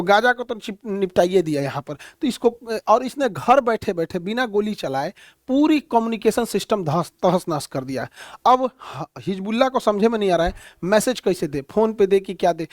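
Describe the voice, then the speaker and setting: A male teacher with a notably high voice explains world events in a blunt, animated, folksy way. He leans on homely comparisons and repeats himself for emphasis. The recording is very dry and close. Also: no room sound. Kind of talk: explanatory lecture on current affairs.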